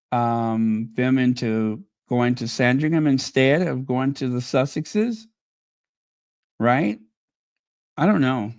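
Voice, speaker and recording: A man talks slowly and unhurriedly as he hosts a casual livestream, thinking aloud and chatting to viewers. His voice sits at a middle pitch and sounds a bit strained, as if he is losing it. The sound is dry and close. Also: no echo. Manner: chatty and musing, thinking aloud with many ums. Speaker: channel host.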